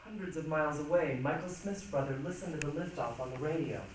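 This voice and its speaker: A man's voice: fairly high pitch, fast talker. A man performing a dramatic stage reading with an emphatic, theatrical delivery. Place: large echoey room, recorded from a distance. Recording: echoey hall, distant mic, faint noise.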